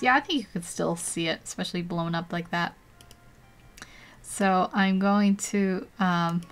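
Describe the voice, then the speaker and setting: Young woman, art livestream host, chatty and giggly, rambles between steps, desk mic at home. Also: mid-range voice. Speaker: art streamer. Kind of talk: casual live-stream tutorial chat.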